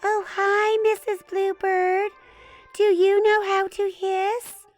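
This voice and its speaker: A woman voicing a shy, hopeful young girl snake in a gentle, high, polite voice. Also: flat delivery, slow pace, soft background music. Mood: sweet hopeful.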